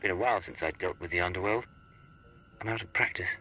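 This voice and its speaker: Suave game secret agent, very low bright crisp-edged voice, terse and dry. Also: male voice, fast, low-quality recording. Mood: dry, measured. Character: cool, confident, dry-witted male secret agent. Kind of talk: video game cutscene acting.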